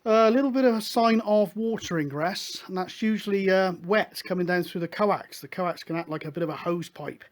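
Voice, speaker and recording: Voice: medium pitch, fairly quick, hardly any pauses. A host explaining things casually, in a hesitant, rambling, matter-of-fact way. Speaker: host of a hobby CB radio show.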